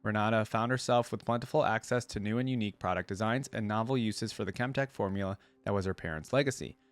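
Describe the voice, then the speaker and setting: A man's voice: fairly low pitch, quick pace, no echo. A man hosting a reaction video, reading lore aloud and musing over it in a casual, conversational way.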